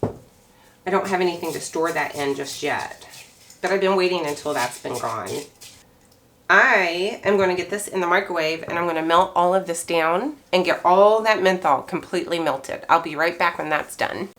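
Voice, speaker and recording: A hoarse woman, middle-aged, chatting casually as she walks through a craft recipe at home, thinking aloud as she goes. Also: lowish voice, normal speed, slight background noise. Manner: chatty, relaxed, thinks aloud while working. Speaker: craft maker. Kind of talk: casual how-to chat.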